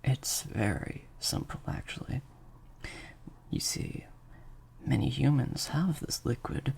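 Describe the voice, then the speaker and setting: A man playing a slightly sadistic vampire master in an improvised audio roleplay, softly taunting and praising in a breathy, creaky near-whisper. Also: medium pitch, exaggerated voice. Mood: cold, menacing. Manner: slow, drawn-out, softly taunting.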